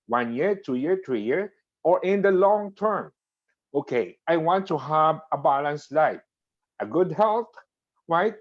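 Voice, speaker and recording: A man's voice: fairly high pitch, animated, measured pace. A man hosting an online meeting, giving a motivational talk and coaxing listeners along by checking in with them. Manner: coaxing, checks in with "okay?" and "right?". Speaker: meeting host. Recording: dull lo-fi call audio.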